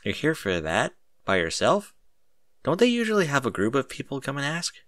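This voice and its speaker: A man's voice: medium pitch, huge pitch swings, normal speed, a bit bright, dry recording, voice pushed up. A man voicing a sulky, indignant eight-year-old orphan boy in a story, with a pouty, questioning manner. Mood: surprised.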